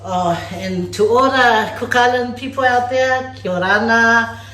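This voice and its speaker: A woman from Samoa hosts a home cooking vlog and chats playfully with her viewers as she works. She speaks at an unhurried pace. Her voice bounces around a roomy, echoey home kitchen. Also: fairly high pitch, fairly bright tone, soft background music. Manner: chatty and playful, talks straight to viewers as "guys". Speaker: cooking vlogger. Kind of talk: casual cooking demonstration. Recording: echoey room.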